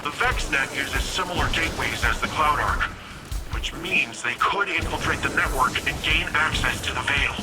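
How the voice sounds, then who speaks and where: A man's voice: fairly high pitch, normal speed, loud music behind the voice, close to an everyday voice. A man playing an earnest, wry, scrappy young city defender in a game, talking informally. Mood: focused. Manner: informal, chatty. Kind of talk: conversational game character acting.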